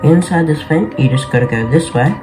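A man's voice: fairly high pitch, fairly quick, rough recording. A young man giving casual game walkthrough commentary as the host of a gaming video. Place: small bedroom, indoors. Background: game music.

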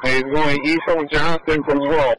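High-pitched male police officer on radio. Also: fairly even intonation, moderate pace, fairly bright tone, rough recording. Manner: urgent, short bursts. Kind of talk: urgent radio call-ins. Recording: police scanner radio transmission.

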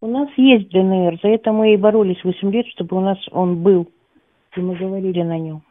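A woman's voice: mid-range voice, fairly even intonation, a little fast. Woman on a tinny phone line. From Donetsk, eastern Ukraine. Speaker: caller, schoolteacher of Russian. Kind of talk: unscripted phone conversation. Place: phone call.

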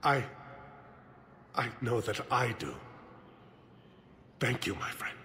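An actor playing a formal ambassador and bereaved father in a video game, grave and halting. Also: medium pitch, lively intonation, sharp tone, reverberant, a little hiss or hum. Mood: restrained grief.

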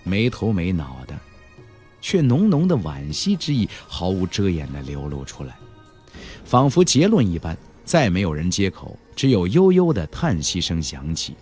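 Guy narrating a novel, low husky voice, really expressive storyteller, bit of music. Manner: expressive, with drawn-out emphasis and spoken asides. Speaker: audiobook narrator. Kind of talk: dramatic scripted storytelling narration.

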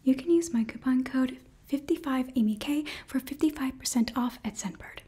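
Casting: young female ASMR host, very breathy soft whisper, mellow tone, close-miked product review. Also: sits high, moderate pace. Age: young adult. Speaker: ASMR host reading a sponsor ad. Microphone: close microphone.

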